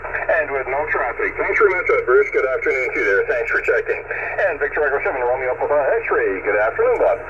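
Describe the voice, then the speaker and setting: A man's voice: medium pitch, expressive, fairly quick. A man speaking over shortwave radio in clipped, procedural phrases, formally working through call signs. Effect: radio transmission. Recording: dull shortwave radio, heavy static.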